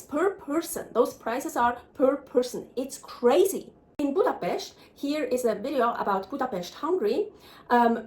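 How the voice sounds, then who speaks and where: A woman in her forties from Switzerland with a Swiss German accent, talking candidly and casually as a travel vlogger. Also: a little high. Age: 40s. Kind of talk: casual personal vlog narration.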